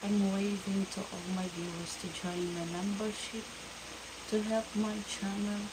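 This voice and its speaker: Woman from the Philippines, live-stream host, slow halting shout-outs, loud hiss on the line. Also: mid-range voice, flat delivery. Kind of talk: casual live-stream chat greeting viewers. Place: home room at night.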